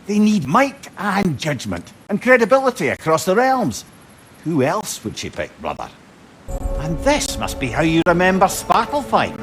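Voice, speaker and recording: Lively, wry Scottish companion. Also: male voice, high-pitched, moderate pace, soft background music, heavily acted. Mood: earnest, encouraging. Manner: eloquent, wry, earnest. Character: wry, talkative male counsellor and loyal companion. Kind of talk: video game cinematic acting.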